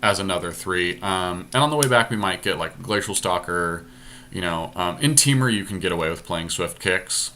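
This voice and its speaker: A man's voice: low-pitched, animated, normal speed, bright, forceful tone. A man casually thinking aloud as he co-hosts a card draft, explaining his picks analytically. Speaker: podcast host and card game drafter. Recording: faint noise, amateur screen-capture recording.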